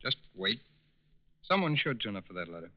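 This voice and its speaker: An actor playing a calm, courteous male federal agent with measured questioning in a 1940s radio crime drama. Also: lowish voice. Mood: calm, inquiring. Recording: muffled, hissy old radio broadcast.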